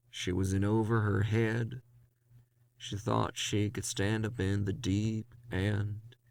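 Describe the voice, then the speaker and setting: A man acts out a weary, bitter ghost hunter narrating a noir horror drama. The voice is low and unhurried, with a gravelly edge and a very dry, close sound. The delivery is brooding and confessional. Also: a little mellow, no echo. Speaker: narrator and lead character. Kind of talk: first-person noir horror audio drama monologue.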